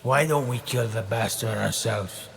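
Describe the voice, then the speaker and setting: A man's voice: on the low side, measured pace, gravelly, a bit bright, in a large hall, a little hiss or hum. An actor playing a brutal, impatient henchman in a video game, with a blunt, sneering delivery. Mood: bloodthirsty. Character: brutal, impatient male henchman, bloodthirsty and sardonic. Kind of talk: video game cutscene acting.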